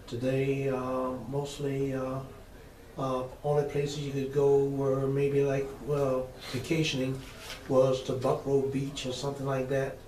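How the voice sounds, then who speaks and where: A seventy-year-old man reflects on his past in an oral history interview, speaking steadily with many pauses. His delivery stays flat and his tone is mellow. The room is echoey, with faint noise behind. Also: medium pitch, far from the mic. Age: 70s. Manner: measured, many "uh" fillers. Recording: roomy echo, faint noise.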